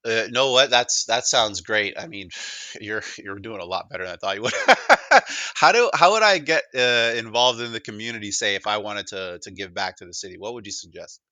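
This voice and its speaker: A man's voice: lowish voice, steady tone, fairly quick, pushed and bright, no room sound. A man presenting a demo in casual conversation, upbeat and informal, often feeling his way through a sentence. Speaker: presenter demonstrating a voice assistant.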